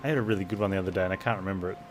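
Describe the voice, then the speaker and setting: A man's voice: deep voice, fairly even intonation, rapid-fire, music in the background. A man streaming a game, chatting casually in a rambling, offhand way. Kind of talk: casual livestream chat.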